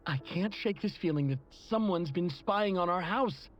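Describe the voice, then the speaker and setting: A woman's voice: on the low side, lively intonation, normal speed, soft background music, natural voice. A woman voicing a frightened, pleading witness in a video game, anxious and urgent on a muffled recording. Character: frightened, pleading woman insisting she is being watched.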